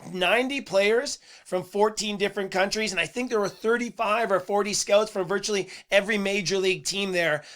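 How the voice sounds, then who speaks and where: A man hosting a sports podcast in a casual monologue. His voice is a little hoarse and he talks earnestly, with "uh"s and repeated words. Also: high voice, a bit bright. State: slightly hoarse voice. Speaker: podcast host.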